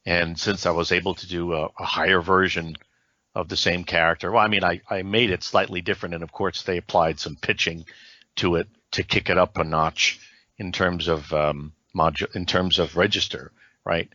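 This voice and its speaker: A man's voice: low voice, steady tone, normal speed, bright, forceful tone, no room sound, dull audio. A man being interviewed as a voice actor on a podcast, talking at length in an explanatory way and often checking with "right?". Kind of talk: podcast interview, recounting career.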